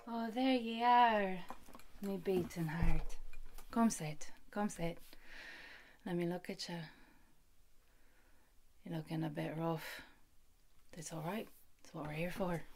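A young woman playing a gentle, affectionate, playful rogue in a fantasy ASMR roleplay, speaking softly and intimately with rustic phrasing, often in a whisper. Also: lowish voice, a little hiss or hum. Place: small wood-panelled room indoors. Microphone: phone at close range.

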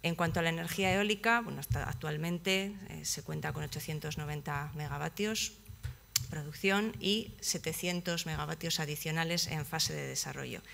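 Hesitant woman presenting, gravelly and bright. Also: low-pitched, slight background noise. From Basque Country, Spain. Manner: hesitant, many fillers and restarts. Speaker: project manager presenting at a conference. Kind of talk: conference presentation. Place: conference hall, seated at a table.

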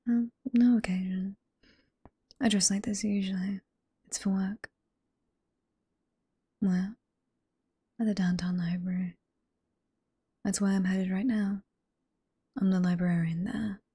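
Casting: actress as teasing older female librarian, British accent, ASMR roleplay. Hushed, very airy whisper, slow and sweet. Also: medium pitch, fairly even intonation, no echo, full character voice. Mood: warm, curious. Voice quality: soft, breathy whisper.

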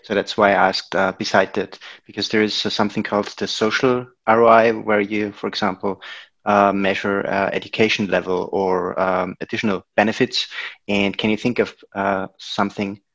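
A man in an audience asking a spontaneous question over a video call, explaining it in a halting way. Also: fairly low pitch, flat delivery, fairly bright tone. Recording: dull lo-fi video call audio.

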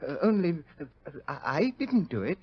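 An actor playing a meek, fretful suspect who stammers and pleads in a 1940s radio drama. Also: male voice, sits high, very animated, normal speed, slightly rough, exaggerated voice. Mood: anxious pleading. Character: meek, fretful, timid male murder suspect. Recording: muffled old radio recording.

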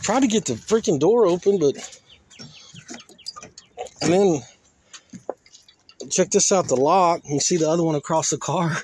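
A man's voice: fairly high pitch, a little slow, long pauses, a little mellow. A man chatting casually and colloquially as he hosts a rambling walk-around video, in a folksy manner, talking half to himself. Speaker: host of a car crushing vlog. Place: outdoors in a junkyard. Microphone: handheld phone or camera, operator behind it. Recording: faint outdoor noise, handheld camera audio.

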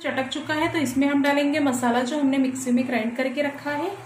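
A woman hosting a cooking channel, calmly narrating a recipe step by step in her home kitchen. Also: high-pitched, a little fast, echoey room, noisy background. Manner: calm, instructional, repeats "we will add".

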